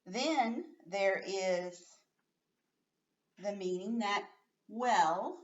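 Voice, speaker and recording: Slightly muffled woman teacher, expressive reader. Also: medium pitch, far from the mic. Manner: expressive, emphatic, slows right down on story words; patient, simple step-by-step explaining. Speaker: teacher reading a story aloud to young pupils. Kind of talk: read-aloud lesson with explanations for children.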